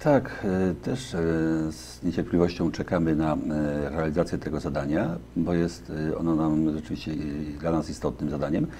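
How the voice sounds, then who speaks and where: Very deep-voiced man, halting answers. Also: fairly even intonation, dark tone, slight background noise. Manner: halting, many fillers and restarts. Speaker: deputy county official. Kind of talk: studio interview answers. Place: local TV studio. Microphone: lapel mic.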